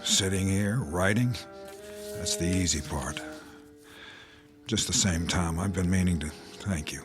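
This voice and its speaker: A man playing a weary, conscientious army captain with a measured, somber manner in a radio drama. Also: low voice, over music.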